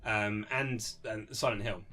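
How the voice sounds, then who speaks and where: A man being interviewed as an author, chatting casually and telling anecdotes in an animated, conversational way. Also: on the low side, unhurried. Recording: lo-fi amateur interview setup.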